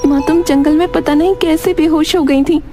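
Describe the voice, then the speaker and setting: A woman's voice: sits high, steady tone, normal speed, pitched above the performer's own voice, natural voice. A woman voicing a caring, eager young village daughter in a cartoon story. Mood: anxious, caring. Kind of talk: cartoon moral story acting. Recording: music behind the voice.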